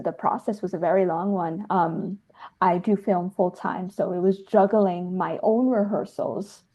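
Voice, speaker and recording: A woman's voice: medium pitch, warm tone. A woman, speaking effusively and emphatically as a filmmaker in a casual interview. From New Jersey, United States. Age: 30s. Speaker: filmmaker and dancer being interviewed.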